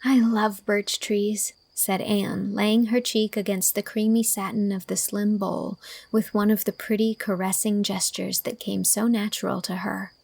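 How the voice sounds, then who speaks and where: A woman's voice: mid-range voice, flat delivery, normal speed, gravelly, a little mellow, slightly rough, no echo. A woman giving scripted literary narration as an audiobook reader.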